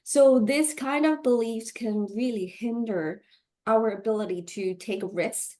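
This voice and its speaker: A woman taking part as a guest coach on a video call, speaking slowly and haltingly, with fillers and light laughs. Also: a little high, dark tone. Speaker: money mindset coach. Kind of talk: guest interview. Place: Vancouver, Canada.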